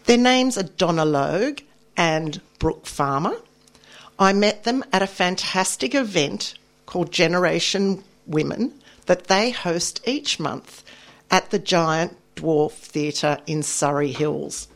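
A woman's voice: on the low side, takes it slowly. A middle-aged woman, hosting a radio program in a studio and speaking in a bubbly, effusive way. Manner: bubbly and effusive, with lively emphasis. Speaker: radio host.

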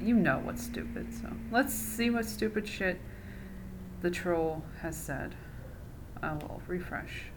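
Sarcastic woman podcaster, low voice. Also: vocal fry, background noise. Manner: sarcastic, mocking, swears freely. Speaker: podcast host. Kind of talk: casual solo podcast chat.

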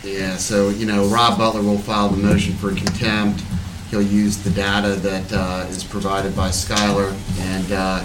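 A man's voice: fairly low pitch, little pitch movement, measured pace, hardly any pauses. A man briefing a township board at a public meeting, matter-of-fact and explanatory. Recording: distant mic in an echoey, noisy room.

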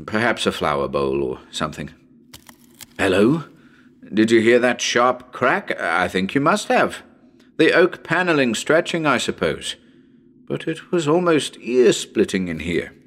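A man's voice: medium pitch, sing-song, measured pace, pauses often, fairly bright tone. A man playing a nervous radio broadcaster on a ghost hunt in a dramatic reading, talking to listeners in a chatty, hesitant, uneasy way. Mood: uneasy, curious. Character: nervous male radio broadcaster ghost hunting in haunted house.

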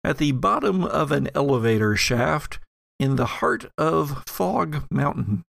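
Gravelly man hosting a tabletop podcast. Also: a little high, big pitch swings, a little slow, a bit bright, no room sound, studio quality. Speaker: podcast host and game master. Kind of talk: reading and casual chat on an actual-play podcast.

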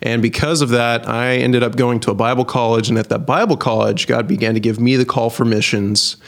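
A man from Idaho, a missionary guest telling his story in a measured, casual interview. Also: mid-range voice, level tone. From Idaho, United States.

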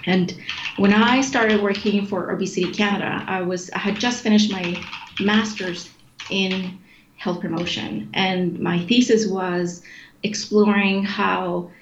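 A woman's voice: mid-range voice, fairly even intonation, unhurried. A woman in her forties, born in Chile, answering interview questions in a thoughtful, explanatory way with frequent fillers and restarts. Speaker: guest, executive director of an obesity organization. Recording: echoey room, dull lo-fi sound, faint noise.

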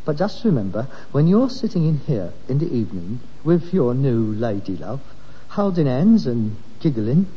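A man's voice: mid-range voice, big pitch swings, normal speed, lightly acted. Male actor as sanctimonious Cockney-style sitcom son, London accent, swooping delivery, old muffled recording. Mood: reproachful. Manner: nagging, self-righteous, melodramatic. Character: indignant, sanctimonious grown son of a widowed rag-and-bone man. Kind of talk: vintage British sitcom acting. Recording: muffled, noisy archive sitcom recording, reverberant.

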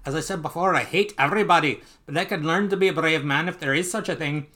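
A man's voice: fairly high pitch, fast, bright, forceful tone, voice pushed up. A man voicing a grumpy, spiteful old rich uncle duck from a comic book, with an attempted Scottish accent and theatrical cackling grumbles. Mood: gleeful scheming. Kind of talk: comic book character read aloud.

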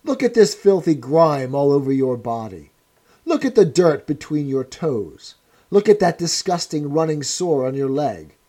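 Man reading a cold commanding interrogator, contemptuous and menacing, mellow voice, slightly high. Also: very animated, a little slow.

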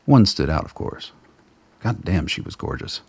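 Deep, gravelly male narrator. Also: very animated, moderate pace, dry recording. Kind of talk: scripted radio drama narration.

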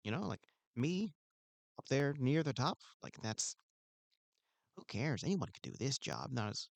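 A man's voice: medium pitch, sing-song, unhurried, vocal fry, no echo, pitched above the performer's own voice, full character voice. A man playing an insecure, self-important security guard who rambles and stammers. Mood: pompous. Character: insecure pompous male mall security guard. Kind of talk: improvised comedy scene.

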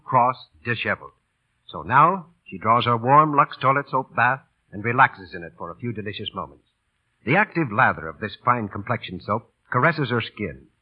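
A man with a husky voice announcing and narrating a scripted advert in 1940s radio style. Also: medium pitch. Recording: dull vintage radio broadcast recording.

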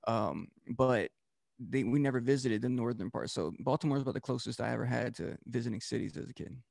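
A man's voice: medium pitch, brisk, creaky, darkish tone, no room sound, clean studio sound. A man from Delaware, a DJ and podcast host, talking loosely as an interview guest, with many fillers and restarts. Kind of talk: casual interview talk.

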